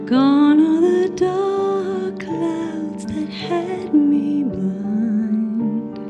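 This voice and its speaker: Slow, high female singer. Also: dull audio. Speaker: singer in a radio variety show. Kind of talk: tender singing of a pop song. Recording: loud music with the voice.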